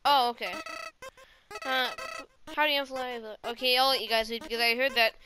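A woman's voice: a little high, fairly even intonation, brisk, low-quality recording. A woman giving casual, rambling let's play commentary as a gaming host, swearing often.

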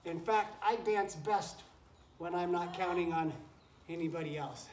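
A man's voice: sits high, a little slow. A man reading a picture book aloud to children like a teacher, chatting encouragingly and breaking off for asides to the animals around him. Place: wooden barn pen with straw, among goats and chickens. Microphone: camera a few feet away. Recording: roomy, noisy barn, distant camera mic.

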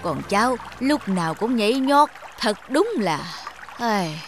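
A woman's voice: on the high side, very animated, measured pace, over music. A woman voicing a huge, stern, proud old mountain in a cartoon fable, in a scolding, pompous manner.

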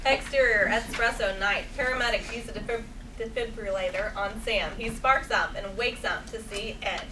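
A woman's voice: medium pitch, moderate pace. A woman reading out stage directions as scripted narration at a table read. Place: small theatre stage, seated before an audience. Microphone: far camera. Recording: noisy stage recording from far off.

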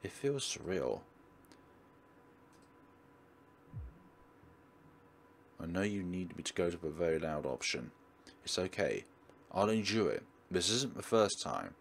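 A man voicing a guarded, self-effacing high school girl who narrates a visual novel in an earnest, measured way. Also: animated, vocal fry, a little hiss or hum. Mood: wary, resigned.

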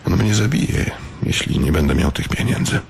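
A hapless, anxious man in debt in a radio play. His low, gravelly voice swings emotionally between hesitation and pleading. There is clear noise behind it. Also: sing-song, moderate pace, full character voice. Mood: afraid. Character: anxious, hapless indebted man turned amateur bank robber. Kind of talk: radio drama acting. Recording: clearly noisy background.

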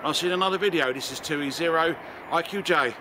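A man's voice: fairly high pitch, quick pace, pushed and bright, slight background noise. A middle-aged man with a British accent giving a casual hands-on demonstration, recorded outdoors in a garden. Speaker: amateur radio video presenter.

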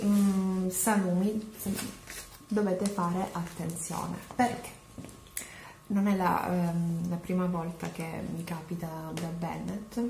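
A woman in her thirties talks straight into a close camera in a small room at home, taking an unhurried pace and filling her pauses with ums and ehs. The room gives her voice a noticeable echo, and a light hiss of noise sits underneath. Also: on the low side, mellow. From Palermo, Sicily. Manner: chatty and explanatory, with frequent fillers. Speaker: vlogger. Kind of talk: grocery haul chat to camera. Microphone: camera at close range.

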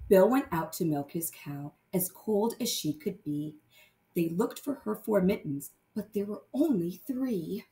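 A woman teacher with a mid-pitched voice gives a read-aloud math lesson for small children. Her delivery is lively and instructional, swinging up and down on key words. The room adds noticeable echo. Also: a little slow.

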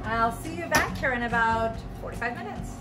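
A woman's voice: a little high, normal speed. A woman in her forties, a restaurant chef, chattily teaching a home recipe step by step in a restaurant kitchen, recorded on a camera mic some distance away. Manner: chatty and instructive, walking through steps. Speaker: restaurant chef and cooking host. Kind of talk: casual cooking demonstration. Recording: echoey kitchen, voice from off-mic, music behind.

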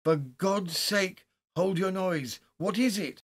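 A man's voice: sits high, slow, voice pushed up. A man reading a horror story as an exasperated, alarmed friend who snaps back with urgent impatience. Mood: alarmed, snapping.

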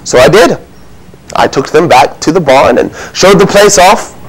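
A man's voice: a little high, lively intonation, measured pace, voice pushed up, low-quality recording. A man performing a comic monologue as a flustered, defensive innkeeper from biblical Bethlehem, rambling indignantly through his excuses. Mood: self-satisfied.

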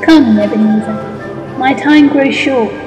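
Woman as a high, echoing, solemn female ghost. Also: dull audio, over music. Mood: solemn, stern. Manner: drawn-out, grave. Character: solemn commanding female ghost of Christmas past. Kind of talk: audio drama acting. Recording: ghostly echoing hall reverb.

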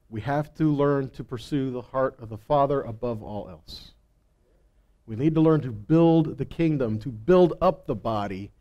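A man's voice: medium pitch, dark tone, muffled recording. A middle-aged man preaching in a conversational teaching style, stressing key words and posing rhetorical questions, on a headset mic. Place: stage in a congregation hall.

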